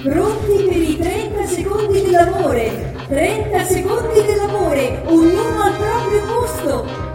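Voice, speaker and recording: Very high-pitched fervent chant leader woman. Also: lively intonation, slow pace, full character voice. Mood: fervent, rallying. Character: fervent female party chant leader hailing the leader. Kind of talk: ritual chant in radio drama. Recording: echoey sound over music.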